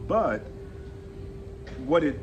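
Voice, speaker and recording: A man's voice: on the high side, big pitch swings. Emphatic male coach over background noise. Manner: emphatic, affirming, measured. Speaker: communication coach. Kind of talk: interview guest.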